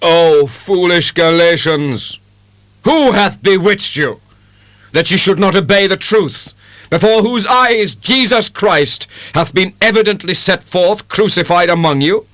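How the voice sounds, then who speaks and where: A man's voice: sits high, unhurried, fairly bright tone, pitched above the performer's own voice. A man playing a fervent lay preacher, declaiming scripture in a ringing, impassioned manner. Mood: fiery, exhorting.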